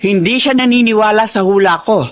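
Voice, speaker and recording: A woman's voice: medium pitch, fairly quick, natural voice. An actress playing a stubborn, defensive older woman fortune-teller in a radio drama, exclaiming and justifying herself. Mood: smug. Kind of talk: Tagalog radio drama acting.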